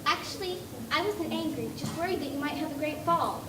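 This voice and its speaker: A woman's voice: high-pitched, a little fast, reverberant, recorded from a distance, noisy background, lightly acted. A woman playing Alice, a polite, earnest young girl who worries easily.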